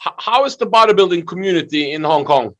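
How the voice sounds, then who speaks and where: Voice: mid-range voice, expressive, fast, a bit bright, dull audio. A man hosting a conversational video interview, asking questions and reacting with short interjections. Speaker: interview host.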